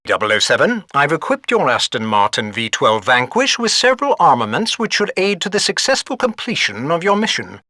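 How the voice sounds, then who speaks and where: An actor playing a fussy British gadget master in a spy video game, speaking formally in a clipped, dryly exasperated way. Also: male voice, on the high side, wildly swooping pitch, a little fast, few pauses, bright, forceful tone, dry recording, exaggerated voice. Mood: brisk, instructive. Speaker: mission support quartermaster. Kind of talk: video game mission briefing voice acting.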